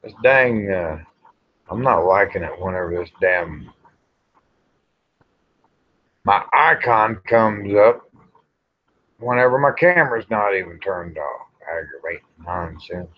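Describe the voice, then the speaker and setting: A man rambles casually to livestream viewers in a folksy, grumbling way, pausing often. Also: low voice, animated, dry recording. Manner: folksy, grumbling, drawn-out with pauses. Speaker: livestream host. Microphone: small microphone near the face. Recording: dull, muffled livestream audio.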